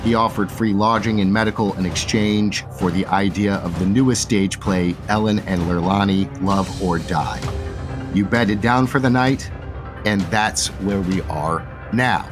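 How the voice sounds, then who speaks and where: A man's voice: on the low side, unhurried, music in the background. A man hosting a tabletop roleplay show as dungeon master, opening with an upbeat announcer-style intro and then narrating a story recap.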